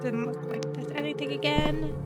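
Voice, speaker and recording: A woman with a fairly high voice gives casual commentary as she plays a story game. She muses aloud and reads game text, while music plays prominently behind her. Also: steady tone, normal speed. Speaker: gaming video host.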